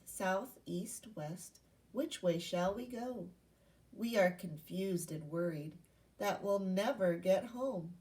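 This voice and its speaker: A woman's voice: mid-range voice, mellow, far from the mic. A woman teacher giving a read-aloud lesson to young children, speaking in simple instructional phrases and asking questions. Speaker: primary school teacher.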